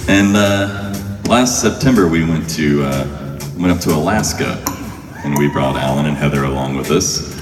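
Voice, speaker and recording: A man's voice: a bass voice, normal speed. A man telling a wry, humorous story live on stage to an audience, in a colloquial way. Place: large hall, live stage show. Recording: echoey hall, distant, music behind.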